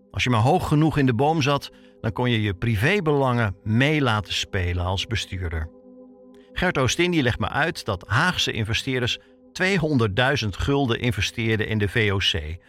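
A man narrating a scripted documentary podcast as its reporter, telling the story in short, measured phrases. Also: medium pitch, fairly bright tone.

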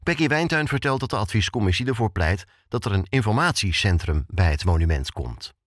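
A man narrates a podcast in a low-pitched voice. His intonation moves around a lot, and he reads his script conversationally, talking straight to the listener. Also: sing-song, a little fast, no echo, studio quality. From Netherlands. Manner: conversational, addresses the listener directly. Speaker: podcast host.

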